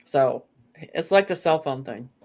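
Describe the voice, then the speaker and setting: A guest in a casual conversation, rambling and animated, often saying "you know.". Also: medium pitch, measured pace. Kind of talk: casual chat.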